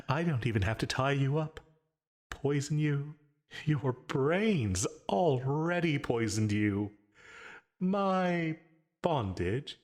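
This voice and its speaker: Gleefully menacing male demon, played by a man, possessive and taunting, ASMR audio drama; extremely drawn-out delivery, wide swings in pitch. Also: full character voice. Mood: teasing, possessive. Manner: slow, drawn-out taunting with dark chuckles. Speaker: voice actor in audio roleplay. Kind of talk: ASMR yandere roleplay, dramatic monologue.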